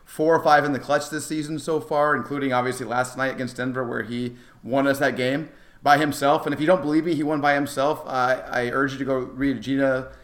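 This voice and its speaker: A man with a medium-pitched voice co-hosts a sports podcast. He talks quickly and casually, with an enthusiastic, emphatic delivery that suits a fan breaking down the game. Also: fairly even intonation. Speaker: podcast co-host.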